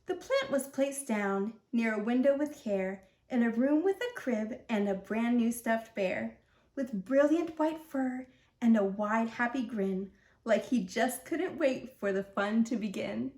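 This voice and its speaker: A woman's voice: on the high side, expressive, a little slow, darkish tone, echoey room. A woman reading a rhyming children's story aloud as the host of a read-aloud.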